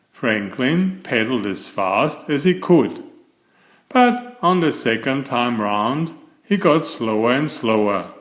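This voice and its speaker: A man reading a children's bedtime story as a scripted narrator, recorded in an echoey room. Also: mid-range voice.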